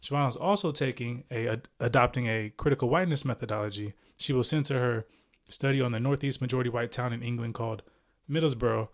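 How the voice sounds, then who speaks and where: A man's voice: mid-range voice, fast. A man in his forties hosting a podcast, reading a guest's introduction in a chatty, playful way, with fillers and self-corrections. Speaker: podcast host.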